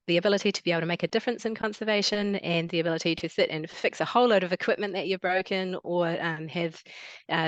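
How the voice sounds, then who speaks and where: Woman, New Zealand accent, lowish voice, friendly and chatty, lots of ums, dry close sound, video-call talk. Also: a bit bright, no room sound. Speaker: guest speaker, wildlife biologist. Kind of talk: online presentation talk.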